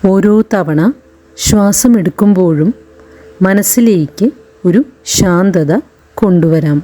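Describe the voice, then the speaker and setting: A woman guiding a meditation in a gentle, soothing way, in short phrases with long pauses. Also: lowish voice. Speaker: meditation guide. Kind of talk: guided meditation narration. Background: background music.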